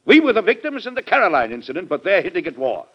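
A man's voice: high-pitched, very animated, quick pace. An actor playing a stern, exasperated middle-aged American president and father in an old-time radio drama, formal and emphatic. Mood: stern, sarcastic. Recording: dull old radio broadcast recording.

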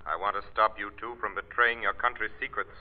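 A spooky invisible crime fighter, low commanding voice, phone-like old radio sound. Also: male voice, steady tone, brisk. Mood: ominous, commanding. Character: invisible, ominous avenger, calm and commanding. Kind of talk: old-time radio drama acting. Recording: thin, filtered vintage radio.

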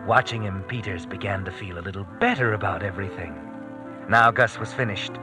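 A man's voice: fairly low pitch, fairly quick. Male radio crime narrator over music. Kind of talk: hard-boiled scripted radio drama narration. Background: dramatic music.

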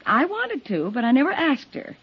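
Neighbor witness: flustered and protesting, very lively pitch, muffled 1950s radio recording. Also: female voice, normal speed, breathy edge, full character voice. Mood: defensive, earnest. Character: flustered, defensive married woman neighbor being questioned. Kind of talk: 1950s radio drama acting.

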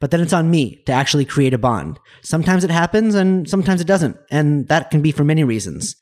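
Podcast host guy, kinda high voice, friendly, repeats himself a bit. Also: lively intonation, fairly quick, a little mellow. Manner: friendly, restarts phrases often. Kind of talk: podcast intro and interviewing.

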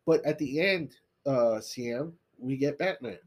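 A man's voice: mid-range voice, a little slow. Stuffy-nosed man co-hosting a podcast. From South Texas. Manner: laid-back, swears and laughs often. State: stuffy from allergies. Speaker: podcast co-host. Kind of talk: casual chat.

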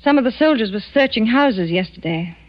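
High-voiced, frightened wife played by an actress, old radio. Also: female voice, expressive, brisk, natural voice. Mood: uneasy. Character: frightened, tense wife of a murderous doctor in wartime France. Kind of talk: 1940s radio suspense acting. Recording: dull old 1940s radio recording with music.

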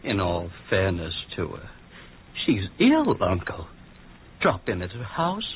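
A man's voice: deep voice, wildly swooping pitch, takes it slowly, husky, heavily acted. An actor in an old-time radio drama playing a polite, deferential younger man, on a muffled, noisy recording.

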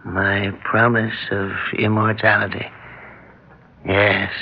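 A dying doctor in an old radio play, low gravelly voice, wry n fatherly, dull recording. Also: flat delivery, a little hiss or hum. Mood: tender. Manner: slow, wry, wistful. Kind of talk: 1960s radio drama acting.

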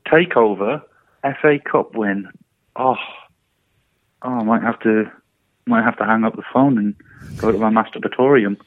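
A man's voice: fairly low pitch, normal speed, long pauses, no room sound. Man chatting down a tinny phone line. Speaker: podcast panellist. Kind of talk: casual football chat.

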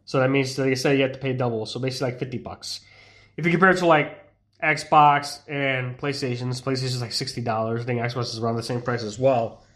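A man's voice: mid-range voice, steady tone, a little fast, fairly bright tone. A congested young man chatting loosely as a streamer, rambling with many fillers and restarts. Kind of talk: casual live-stream chat.

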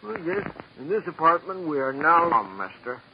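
Brief: lonely, sour building manager, higher voice, slangy grumbling, noisy muffled vintage radio recording. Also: male voice, animated, moderate pace. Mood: resentful. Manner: slangy, grudging, self-pitying, says "mister" often. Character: sour, put-upon apartment house manager, a lonely male nobody. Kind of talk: 1950s radio crime drama acting.

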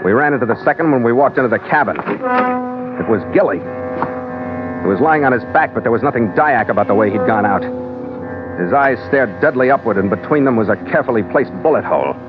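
A man's voice: medium pitch, big pitch swings, a little fast, lightly acted. Man as hard-boiled captain, muffled old radio. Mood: grim. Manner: terse, dry, hard-boiled. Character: world-weary, hard-boiled male ship captain and narrator. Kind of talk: old-time radio drama narration and dialogue. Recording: dull vintage radio recording with music.

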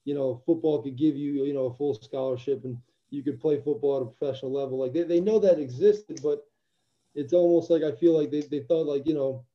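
A man's voice: fairly high pitch, fairly quick, lo-fi sound. A young man from Albania, a former football player, answering interview questions in an earnest, reflective way with many restarts. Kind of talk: casual interview.

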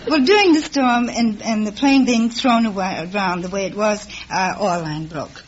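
A woman's voice: medium pitch, moderate pace, breathy edge. A woman talking casually with the host as a contestant, on an old radio quiz recording. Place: radio studio before an audience. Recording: dull old radio recording with faint noise.

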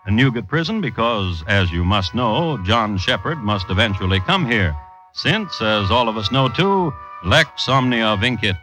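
An actor playing a droll, scholarly crime storyteller, narrating formally and deadpan in 1950s radio style. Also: male voice, fairly low pitch, unhurried, music in the background. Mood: formal, lecturing. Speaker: host and narrator.

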